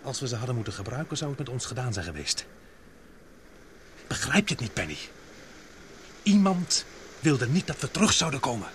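An actor playing a capable young expedition man in a radio drama, speaking in a measured, matter-of-fact way. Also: mid-range voice, big pitch swings, fairly bright tone. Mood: grave, suspicious. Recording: noisy old radio play recording.

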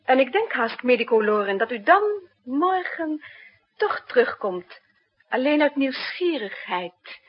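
Casting: tender, fretful heroine, high husky-soft voice, hesitant and emotional, dull vintage radio drama. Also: female voice, very animated, a little slow, exaggerated voice. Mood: anxious, pleading. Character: anxious, tender woman hiding a desperate secret. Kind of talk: 1960s radio drama acting. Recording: muffled 1960s radio recording.

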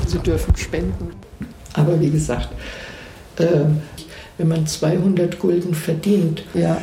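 A man speaking casually and spontaneously, halting and correcting himself as he goes. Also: a little high, flat delivery, vocal fry, dark tone, soft background music. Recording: echoey, noisy room recording with clicks.

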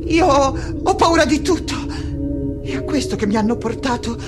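An actress playing a frightened yet defiant woman scholar in a theatrical radio monologue, halting and repeating her words with dramatic intensity. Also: high voice, lively intonation. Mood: anguished, fearful. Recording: loud music behind the voice.

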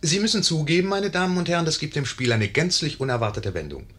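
An actor playing a wry, chatty narrator who addresses the audience of a 1950s German radio play with irony. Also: male voice, a little high, very animated, fast, fairly bright tone. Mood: wry, conspiratorial. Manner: chatty, ironic.

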